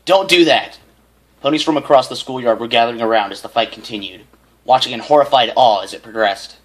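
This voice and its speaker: A man reads a story aloud at a middling pitch. He is an amateur reader who trips over phrases, backs up and says them again as he goes. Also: quick pace, poor audio. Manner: stumbling, often repeating phrases. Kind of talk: reading a story aloud with character voices.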